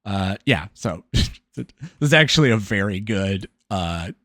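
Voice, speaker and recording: A man giving casual, off-the-cuff reaction commentary, halting and often stammering as he corrects himself. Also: low voice, lively intonation, a bit bright, no room sound, studio quality. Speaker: commentator reacting to a debate video.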